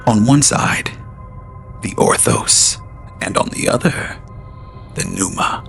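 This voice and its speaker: Gravelly, theatrical male drama narrator. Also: mid-range voice, huge pitch swings, slow pace, a bit bright, soft background music. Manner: theatrical, addresses the listener directly. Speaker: narrator and host. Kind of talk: scripted audio drama narration.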